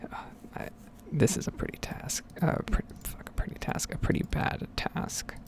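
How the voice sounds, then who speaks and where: A woman giving whispered and soft-spoken ASMR game commentary in a breathy, rambling, unhurried way. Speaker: gaming ASMR video creator.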